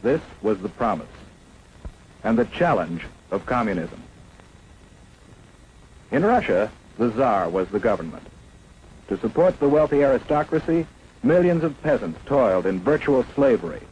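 A man's voice: medium pitch, big pitch swings, moderate pace, pauses often, darkish tone, lo-fi sound. A man narrating a 1950s propaganda film in an emphatic, declamatory way. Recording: faint hiss of an old 1950s film soundtrack.

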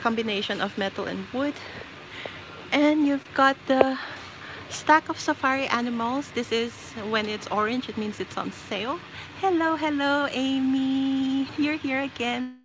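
A woman from the Philippines chatting casually on a live shopping stream, reading out prices and giving shout-outs as she walks around a store. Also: high voice, measured pace. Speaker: livestream shopping host. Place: inside a large retail store. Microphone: handheld phone while walking the aisles. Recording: noisy shop floor phone livestream.

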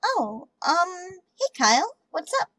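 Woman doing shy sweet cartoon girl, super high voice, slow n gentle, fan dub. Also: very animated, no echo, clean studio sound, exaggerated voice. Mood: caring. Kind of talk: amateur comic dub acting.

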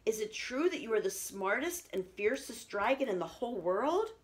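A clever, bold young princess out of a picture book, acted by a woman reader. Her voice is pitched up, exclaiming each line with emphasis. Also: very animated, moderate pace. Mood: challenging.

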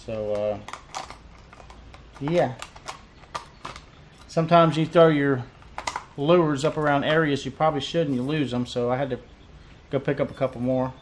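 A man's voice: medium pitch, unhurried, pauses often, a little hiss or hum. A man in his thirties chatting casually through a fishing tackle unboxing, rambling and repeating himself with lots of fillers, recorded on a desk camera in a cluttered tackle room. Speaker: fishing vlogger.

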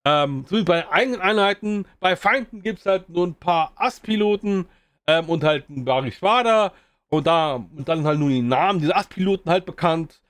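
A man's voice: high voice, animated, moderate pace, a bit bright, dry recording. A man giving a casual spoken review of an old video game, rambling with many fillers and restarts.

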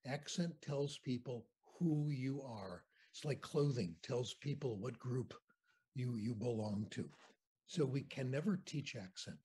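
An older American man, a guest on a video call interview, telling anecdotes in an animated, conversational way from a home room. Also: mid-range voice, a little slow, slightly rough. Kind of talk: storytelling lecture on a video call. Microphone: video call webcam.

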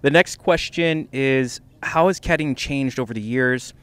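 A man's voice: medium pitch, unhurried, a bit bright, smooth. A man hosting a golf interview, reading out prepared questions in a plain, scripted way. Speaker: interview host.

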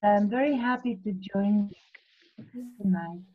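A woman introduces herself very slowly and carefully, pausing between phrases. Her voice is thin and phone-like over the call. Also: mid-range voice, breathy edge. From Russia. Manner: slow, careful, with pauses. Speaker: interpreter and subtitler. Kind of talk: self-introduction on a video call. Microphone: video call.

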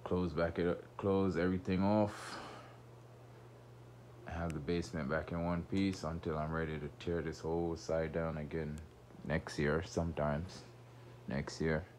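A man with a very deep voice talks slowly and loosely, rambling as he walks through his unfinished basement. He records on a handheld phone, with a faint hum of room noise behind him. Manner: relaxed, rambling, informal speech. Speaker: homeowner showing his basement renovation. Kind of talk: casual walkthrough narration. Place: unfinished basement under renovation. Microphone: phone camera held while walking.

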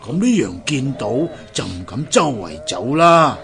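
A man's voice: medium pitch, very animated, unhurried, a bit bright, faint music behind, exaggerated voice. A man playing a kindly, sympathetic old man in a radio drama, exclaiming and sighing, with a Hong Kong Cantonese accent. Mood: helpful, encouraging. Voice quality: creaky, aged.

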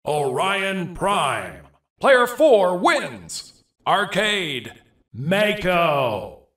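A hyped arena announcer for a fighting video game. He is theatrical and emphatic, swinging widely in pitch and stretching out each short call very slowly, with a roomy echo around him. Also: big pitch swings, pushed and bright, distant mic, heavily acted. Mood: bombastic, hyped. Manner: theatrical, drawn-out, emphatic delivery.

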